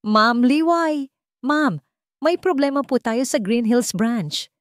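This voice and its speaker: Woman acting a frantic, high-pitched female manager. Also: expressive, slow pace, dry recording, clean studio sound. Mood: panicked. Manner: urgent, frantic, shouting. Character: panicked female restaurant branch manager.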